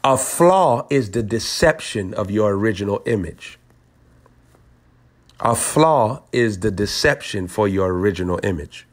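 A man preaching in a prophetic teaching style, deliberate and aphoristic, repeating phrases for emphasis. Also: on the low side, expressive. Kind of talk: prophetic teaching over a phone call.

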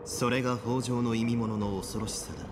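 An actor playing a composed, authoritative military official in a Japanese video game, speaking solemnly and deliberately in formal language. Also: male voice, medium pitch, steady tone, close to an everyday voice. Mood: grave, composed. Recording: game music and background noise. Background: game music.

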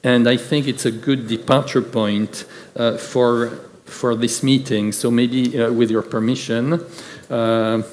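A man's voice: mid-range voice, steady tone, slow, darkish tone. A man moderating a conference panel, speaking with many fillers and restarts. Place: large conference hall with audience. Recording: reverberant conference hall.

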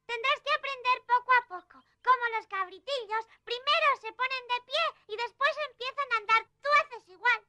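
Casting: eager, caring little mountain girl for a cartoon dub, piercingly bright, dry sound. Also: very high voice, moderate pace, few pauses, dry recording, heavily acted. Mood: eager, encouraging. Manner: insistent, encouraging, exclamatory. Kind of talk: dubbed cartoon acting.

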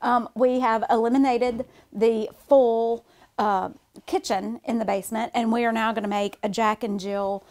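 A woman with a slightly high voice talks with easy enthusiasm as she walks a contractor through her half-built basement. She sounds like she is in her forties, speaking casually to someone standing beside her. The camera picks her up from a few steps away inside the open-stud room. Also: fairly even intonation, moderate pace, darkish tone. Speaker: homeowner and show host. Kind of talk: casual interview chat. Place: gutted basement under renovation, indoors. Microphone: far camera.

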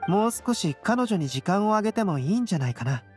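A woman voicing a serene young male intellectual in an anime game, calm, reflective and polite. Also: mid-range voice, fast talker, faint music behind, natural voice. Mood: calm, curious. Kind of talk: Japanese anime game voice acting.

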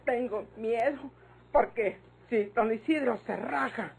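A woman's voice: a little high, measured pace, gravelly, background noise. An actress playing a distraught, frightened young country woman, in old radio drama style. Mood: tearful fear.

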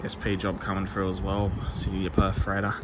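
A man vlogging casually from an outdoor railway platform, calling out trains with relaxed ums and uhs. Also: low-pitched, brisk. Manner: relaxed, with frequent um and uh. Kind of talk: casual narration to camera. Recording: outdoor phone audio with loud ambient noise. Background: station ambience and passing trains.

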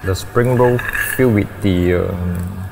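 A man in his forties, a chef, calmly describing dishes over dinner in a restaurant. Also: sits low, vocal fry, music in the background. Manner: measured, descriptive tasting comments. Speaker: chef guest. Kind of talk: casual dinner conversation. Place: upscale restaurant dining room.

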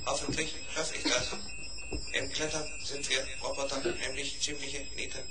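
An actor playing a small, polite, matter-of-fact robot in a children's audio play, speaking in a measured, formal, deliberate way. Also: male voice, mid-range voice, little pitch movement, close to an everyday voice. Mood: polite, earnest. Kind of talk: 1970s German children's audio play acting. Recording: noisy old record transfer with clicks.

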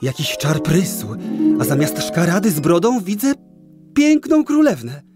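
A man playing a brave, excitable young wanderer in a children's radio play, with theatrical exclamations. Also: high-pitched, unhurried, loud music behind the voice. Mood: startled, amazed.

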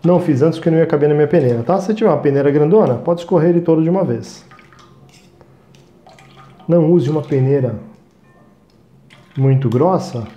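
A man in his thirties with a Brazilian accent explains a recipe in a chatty, viewer-friendly way. His voice is mellow and relaxed, and he is picked up by a lapel mic in a small, echoey studio kitchen. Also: a little high, highs cut off. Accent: Brazilian Portuguese. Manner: chatty, talks to viewers as "gente", frequent "tá?" checks. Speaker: cooking show host. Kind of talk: cooking demonstration.

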